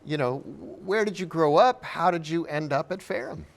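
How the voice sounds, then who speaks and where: A man hosting a conversational television interview, speaking in a measured, thoughtful way. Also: a little high, vocal fry. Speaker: interview host.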